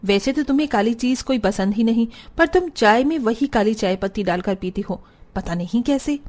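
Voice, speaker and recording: A woman voicing a patient, reasonable woman of a family in a cartoon story, measured and gently reproving. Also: on the high side, expressive, lightly acted. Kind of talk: Hindi cartoon story dialogue.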